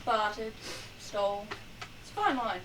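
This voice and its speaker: A woman's voice: medium pitch, lively intonation, a little slow, a bit bright. A woman playing in a tabletop game, trading dry, wry banter with the table. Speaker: player. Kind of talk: casual tabletop game chat. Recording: distant mic with faint hiss.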